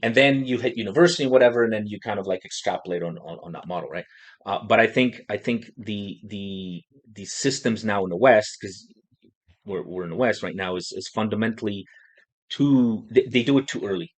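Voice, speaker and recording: A man's voice: on the low side, fairly quick. A man hosting a podcast who talks in a casual, rambling way, often restarting and asking "right?". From Poland. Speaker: podcast host. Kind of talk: casual discussion.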